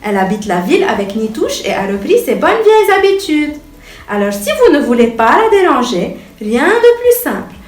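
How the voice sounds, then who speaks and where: A woman with a high, very lively voice reads a children's story with theatrical flair. She sounds a little way from the mic in an echoey room, with music behind her. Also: moderate pace, recorded from a distance. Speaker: storyteller reading to a young child. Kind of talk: expressive children's bedtime story reading.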